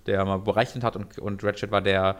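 A young man talking about anime and video games in a casual podcast chat, animated and rambling with many restarts. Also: fairly low pitch, fast talker. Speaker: podcast co-host.

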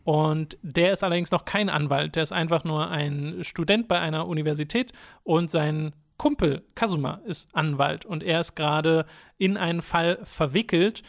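A man's voice: fairly high pitch, creaky. Chatty young man explaining at length. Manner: explains at length with many "äh" fillers, measured. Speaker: podcast co-host reviewing games. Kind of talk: casual chat.

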